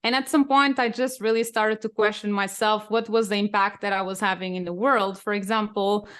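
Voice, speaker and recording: A woman's voice: medium pitch, fairly even intonation, brisk, fairly bright tone, clean tone. A woman from Portugal, Portuguese as her first language, speaking as a guest on a podcast in enthusiastic casual chat. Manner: enthusiastic, laughs often.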